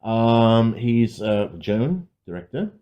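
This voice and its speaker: A man's voice: mid-range voice, sing-song, slow pace, lo-fi sound. A man co-hosting a podcast, chatting casually about films with a sardonic, sweary manner. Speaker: podcast co-host. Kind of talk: casual film discussion, sweary.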